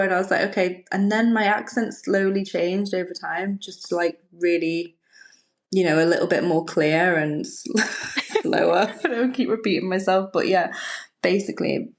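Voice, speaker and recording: Chatty woman with a softened Liverpool accent. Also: mid-range voice, moderate pace. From Liverpool, England. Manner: chatty, rambling, laughs often. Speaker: podcast guest, stylist. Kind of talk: casual chat.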